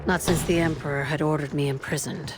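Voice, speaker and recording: Low-voiced samurai warrior woman, played by an actress. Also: fairly even intonation, fairly quick, natural voice. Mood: grave, resolute. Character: steadfast samurai warrior woman, once imprisoned, recounting her war. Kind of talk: scripted narration and game cutscene dialogue.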